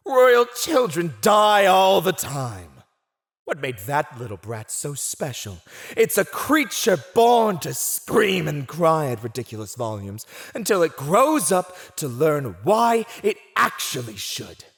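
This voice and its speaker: An actor playing a silver-tongued, condescending fantasy villain who teases and mocks a prisoner in a scripted ASMR roleplay monologue. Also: male voice, on the high side, very animated, slow pace, a bit bright, heavily acted. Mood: contemptuous. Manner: theatrical, condescending, teasing.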